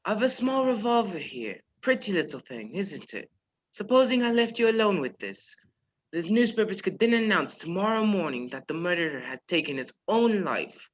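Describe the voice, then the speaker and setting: A performer playing a polite, measured male professor who is secretly a menacing spy chief in a radio play. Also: medium pitch, big pitch swings. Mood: quietly menacing. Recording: dull lo-fi virtual recording.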